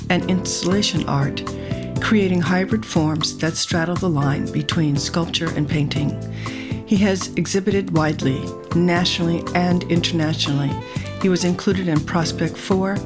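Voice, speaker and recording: A woman with a fairly low voice hosts an art podcast and speaks in a measured, formal way. Music plays clearly under her words. She is recording in an apartment. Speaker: podcast host. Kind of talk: scripted introduction and interview questions. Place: Manhattan apartment.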